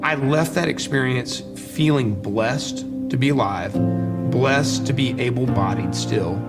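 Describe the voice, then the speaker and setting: Man, medium pitch, earnest reflective interview, loud music underneath. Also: unhurried.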